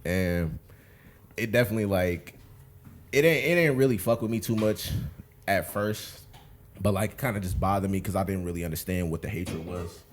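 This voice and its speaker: A man's voice: sits low, normal speed, a little hiss or hum. A man, chatting casually as a podcast guest in a laid-back, rambling way. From Buffalo, New York, USA. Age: 20s. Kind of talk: casual chat.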